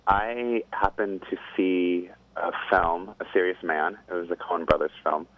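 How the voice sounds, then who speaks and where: A man who is an opera singer, chatting as a guest in a casual interview, thoughtful and rambling and quick to laugh. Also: low-pitched, fairly even intonation, dry recording. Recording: tinny phone line.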